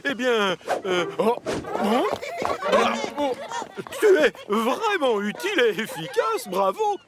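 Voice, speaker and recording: Fussy railway boss, wildly swooping voice. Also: male voice, extremely high pitch, big pitch swings, slow pace, noisy background, exaggerated voice. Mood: pleased, busy. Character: busy, fussy male railway controller, stout boss. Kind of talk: children's cartoon dubbing.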